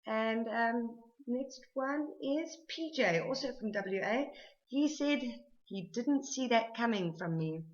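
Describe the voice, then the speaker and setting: A woman's voice: fairly high pitch, expressive, slow pace, highs cut off. A South African woman chatting casually to camera for her vlog, rambling with lots of ums and restarts. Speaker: vlogger.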